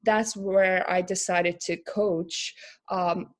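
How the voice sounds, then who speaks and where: Turkish-born woman coach, halting and thoughtful. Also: on the low side, steady tone. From Turkey. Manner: thoughtful, halting with many fillers and restarts. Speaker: career coach and pharmacist. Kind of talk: casual interview chat.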